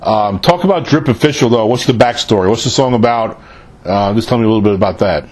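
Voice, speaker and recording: A man's voice: lowish voice, fast, a little mellow. Casual man hosting an interview. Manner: informal, often says "man". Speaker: show host interviewer.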